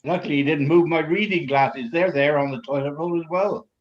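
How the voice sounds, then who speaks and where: A man's voice: a little high, brisk, lo-fi sound. A man chatting casually with friends, telling stories with wry asides. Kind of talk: casual chat.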